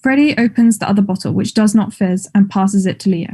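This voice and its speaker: Mellow woman reading stage directions. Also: medium pitch, a little fast, husky. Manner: matter-of-fact. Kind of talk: scripted narration of stage directions.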